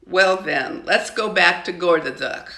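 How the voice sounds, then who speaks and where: A woman's voice: on the low side, measured pace, bright, forceful tone. An older woman reading the part of a teased, unhappy immigrant schoolgirl, earnest and pleading. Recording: roomy, echoing home recording.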